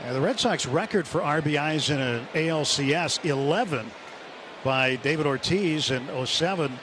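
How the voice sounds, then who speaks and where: A man giving relaxed radio color commentary on a baseball game, explaining with casual fillers. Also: on the high side, normal speed. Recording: radio broadcast with loud ballpark noise.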